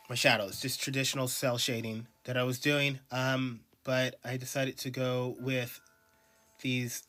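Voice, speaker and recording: A man's voice: medium pitch, pushed and bright. Congested man rambling while he draws. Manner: rambling, many ums and uhs. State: congested from allergies. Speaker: artist streaming a drawing session. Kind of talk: casual talk while drawing.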